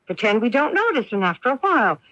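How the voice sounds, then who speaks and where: Radio play middle-aged mother: anxious, coddling, defensive, lively pitch, dull close dry lo-fi sound. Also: fast, no echo. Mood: determined, hopeful. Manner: fretful, coddling. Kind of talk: radio drama acting.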